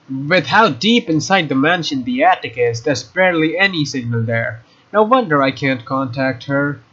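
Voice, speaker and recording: A man doing a let's play, reading visual novel narration aloud in a casual, expressive way with informal asides. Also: fairly high pitch. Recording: dull lo-fi mic, faint noise.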